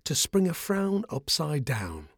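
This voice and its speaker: A man voicing a gentle, generous giant elephant who keeps a flower stall in a bedtime storybook. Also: fairly high pitch, huge pitch swings, slow pace, breathy edge, dry recording, clean studio sound, heavily acted. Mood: genial.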